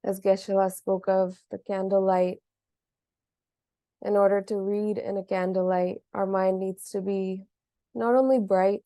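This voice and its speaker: A woman leading a guided meditation as a teacher, speaking gently and in a measured way with long pauses. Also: medium pitch, little pitch movement, no room sound, clean studio sound.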